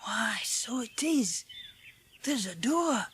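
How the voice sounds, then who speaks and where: High-voiced, friendly Yorkshire moor boy. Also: very animated, slow pace, a bit bright, husky, full character voice. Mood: hushed wonder. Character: friendly, rustic Yorkshire moorland boy, gentle nature lover. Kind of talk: dramatised audiobook acting.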